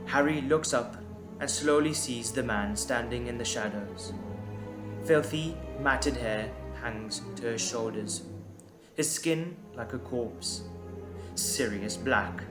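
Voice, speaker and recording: A man reading scripted dramatic narration in a deliberate, suspenseful manner. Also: mid-range voice. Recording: echoey, music underneath. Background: music.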